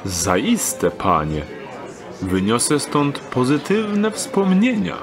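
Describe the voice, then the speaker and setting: A man playing a wary, wry thief in a fantasy audio drama, muttering deliberately. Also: on the high side, huge pitch swings, music in the background, heavily acted. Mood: playful.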